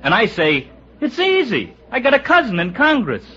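An American actor playing a brash, resentful comedy sidekick in an old-time radio drama. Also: male voice, very high-pitched, wildly swooping pitch, moderate pace, pushed and bright, husky, exaggerated voice. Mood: animated. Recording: muffled old radio broadcast recording.

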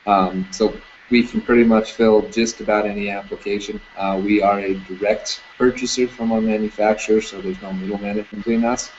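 A man in his thirties, a security camera business owner, talks casually in an interview over a video call, relaxed, with many fillers. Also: fairly low pitch, moderate pace. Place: indoor room with curtains. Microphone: webcam on video call. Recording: dull lo-fi video call audio, faint noise.

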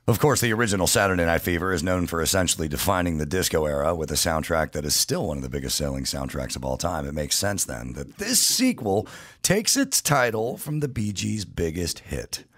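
A man's voice: low voice, vocal fry, fairly bright tone, dry recording, studio quality. An American man reading scripted announcements as the announcer of a podcast game. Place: indoor podcast studio.

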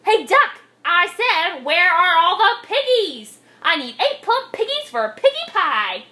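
A woman's voice: high voice, sing-song, takes it slowly, pushed and bright, full character voice. A woman voicing a cranky, bossy storybook witch who screeches, cackles and hurls insults. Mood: screeching demands. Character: cranky, bossy witch hunting pigs, shrieking and threatening.

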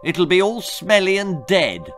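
A man's voice: sits high, sing-song, a little slow, fairly bright tone, soft background music, pitched above the performer's own voice, exaggerated voice. A man voicing a sulky, cautious young boy in a children's storybook, whiny and fretful. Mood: doubtful.

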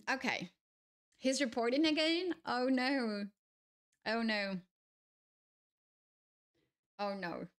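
A woman's voice: a little high, drawn-out words, pauses often. A young woman with a Georgian accent, chatting casually to her stream viewers while playing chess, musing aloud with many fillers and restarts. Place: small home streaming room, indoors.

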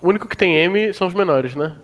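A man on a video call, talking through code in a relaxed, joking way. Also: high-pitched, quick pace. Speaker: coding dojo participant. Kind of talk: casual pair-programming chat on a video call.

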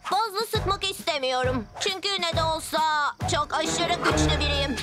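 Woman as boastful young cartoon superhero boy, very high voice, overenthusiastic, music behind. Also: moderate pace. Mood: cocky, friendly. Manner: bragging, overenthusiastic. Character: big, boastful, eager armadillo-costumed boy superhero. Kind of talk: dubbed children's cartoon acting.

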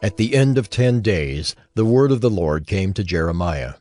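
Low-voiced male scripture narrator over music. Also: lively intonation, warm tone. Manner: measured, formal storytelling. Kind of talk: scripted narration of a dramatized audio Bible.

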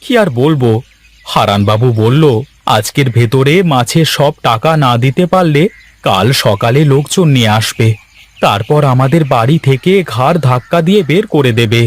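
A man's voice: medium pitch, lively intonation, normal speed, lightly acted. A man voicing a humble, crafty village husband and farmer in a cartoon, with a pleading, deferential manner. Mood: dejected. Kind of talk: cartoon dialogue acting.

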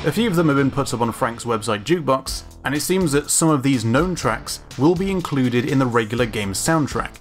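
A man giving scripted analytical commentary as a video narrator, in an informal, measured, opinionated way. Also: mid-range voice, lively intonation. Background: background music.

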